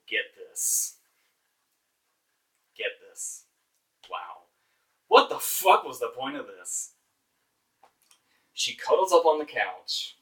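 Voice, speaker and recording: A man's voice: mid-range voice, sharp tone. A man reviewing movies informally as a host, exasperated, emphatic and sarcastic, with casual swearing. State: voice getting hoarse. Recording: distant microphone.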